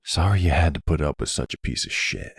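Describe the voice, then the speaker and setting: Man acting as suave middle-aged mob boss, very deep, gravelly, intimate, flirtatious, ASMR roleplay. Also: lively intonation, fairly quick, dry recording, studio quality, heavily acted. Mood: teasing, flirtatious.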